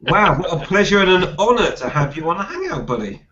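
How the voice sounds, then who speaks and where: A man's voice: sits high, big pitch swings, crowd noise. A man hosting a casual live group chat, bantering and reading out comments in a jokey way. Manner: jokey, quick to laugh. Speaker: host of a live group hangout. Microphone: group video call. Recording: dull lo-fi online call audio.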